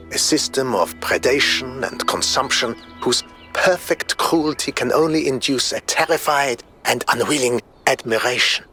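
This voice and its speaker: Grave philosopher robot for a game audio log, measured but really expressive, contemptuous of nature, music behind him. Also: male voice, medium pitch. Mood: grim contempt. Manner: measured, solemn, philosophical, eloquent. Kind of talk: scripted dramatic monologue, audio log.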